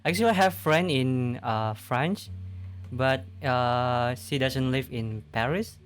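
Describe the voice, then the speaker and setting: A man's voice: mid-range voice, slow pace, soft background music. A man from Indonesia with an Indonesian accent, a native Indonesian speaker, chatting casually in simple learner's English. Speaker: video host. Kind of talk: casual chat. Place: online VR voice chat.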